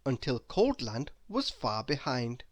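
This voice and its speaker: A storyteller reading a children's book aloud in an expressive narrating style. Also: mid-range voice, unhurried, no echo.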